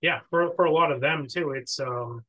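A man's voice: on the high side, moderate pace, pushed and bright, low-quality recording. A man hosting a podcast, chatting casually and asking curious, loosely phrased questions in an informal way. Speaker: podcast host. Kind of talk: casual interview chat.

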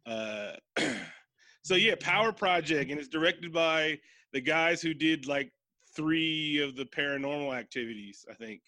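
Male podcast host, slightly high, bright tone, loose joking delivery with swearing. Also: expressive, a little slow, dry recording. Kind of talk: casual movie review chat.

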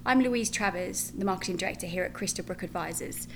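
A woman's voice: on the low side, quick pace, noisy background. A British woman hosting a podcast, who asks loose, rambling questions with fillers. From Britain. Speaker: podcast host.

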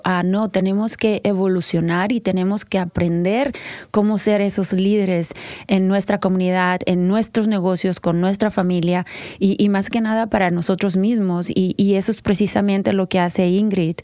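A woman hosts a casual interview show in a smooth, resonant voice. Her delivery is halting and padded with fillers. The sound is close and dry, with hardly any room in it. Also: medium pitch, darkish tone, dry recording. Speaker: podcast host.

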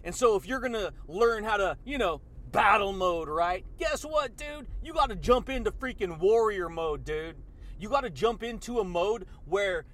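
Guy pumping you up on his podcast, high voice, slangy, dude this baby that. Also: fairly bright tone. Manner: hyped, slangy, keeps saying dude and baby. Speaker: motivational podcast host. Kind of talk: energetic motivational monologue.

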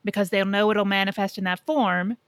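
A woman with a medium-pitched voice, arguing about movies as a podcast co-host. She is blunt and swears freely, and the recording is very dry. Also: steady tone, a little fast, no room sound. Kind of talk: casual movie debate.

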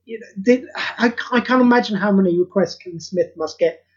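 A man's voice: high-pitched, a little fast, a little mellow, breathy edge. A man speaking as a podcast interview guest in an earnest, rambling, informal way, over a dull lo-fi recording. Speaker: interview guest, creator of charity audio plays. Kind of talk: casual podcast interview chat.

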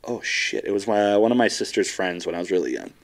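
A man's voice: lowish voice, expressive, fast talker, vocal fry. A young man from South Africa with a lisp, talking bluntly and jokily as a guest in a casual chat, often swearing. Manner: profane, blunt and jokey.

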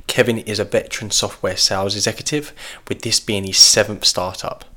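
A man's voice: fairly low pitch, flat delivery, brisk, sharp tone. A man announcing a podcast intro in a scripted, upbeat way.

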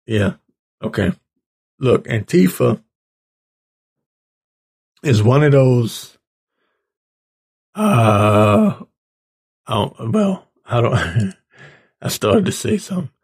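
A man with a raspy, hoarse voice giving an informal opinion rant as a commentator, halting, blunt and exasperated. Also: medium pitch, big pitch swings, darkish tone. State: hoarse, clearing his throat. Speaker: political commentator reading tweets and articles.

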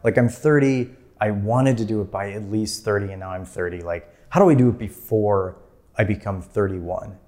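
A man's voice: fairly low pitch, moderate pace, darkish tone. A man in his thirties, a filmmaker guest, telling his story in a casual interview with lots of fillers and easy laughs. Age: 30s. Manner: rambling, many "like" fillers, laughs easily.

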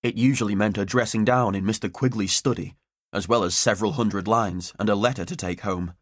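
Low-pitched man narrating, dry studio sound. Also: a little fast, breathy edge, no room sound. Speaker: audiobook narrator. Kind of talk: scripted story narration.

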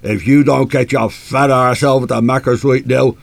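Man acting gravelly, bullying Irish drunkard husband. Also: medium pitch, flat delivery, normal speed, no echo, natural voice. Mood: threatening, furious. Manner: coarse, belligerent, cursing. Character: abusive hard-drinking husband, bullying and demanding. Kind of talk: live radio play acting.

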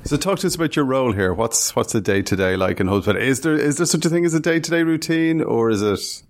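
A man's voice: medium pitch, animated, fast. A man hosting a podcast, reading out promotional intros and then chatting with a guest. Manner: promotional, list-reading, conversational. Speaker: podcast host.

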